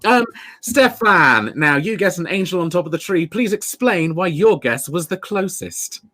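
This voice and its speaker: A man in his thirties hosting a comedy panel game over a video call from home, excitable and quick to laugh. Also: high voice. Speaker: game show host. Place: home room.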